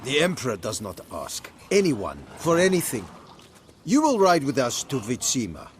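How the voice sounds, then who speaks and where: An actor playing a stern, threatening soldier in a video game, clipped and commanding. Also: male voice, mid-range voice, huge pitch swings, normal speed, a little hiss or hum. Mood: stern threat.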